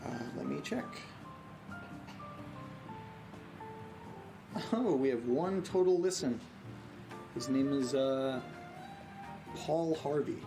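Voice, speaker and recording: A man acting as an earnest, anxious guy in a horror audio drama. He talks haltingly and naturally, in a mid-pitched voice, with clear music underneath. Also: low-quality recording. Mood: casual. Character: anxious, earnest man recording strange events at home.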